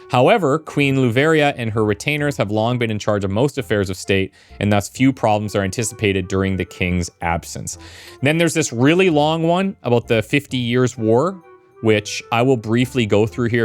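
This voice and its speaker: A man giving casual let's play commentary as a video game streamer. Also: mid-range voice. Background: game music.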